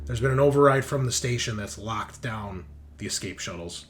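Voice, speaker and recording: A man's voice: lowish voice, normal speed. A man acting as game master, switching between scene narration and casual talk about the rules.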